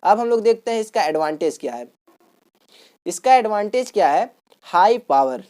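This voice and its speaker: A woman's voice: mid-range voice, expressive, normal speed, dark tone. A woman teacher giving an explanatory lesson, going step by step, repeating points and often asking check questions. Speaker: electronics teacher.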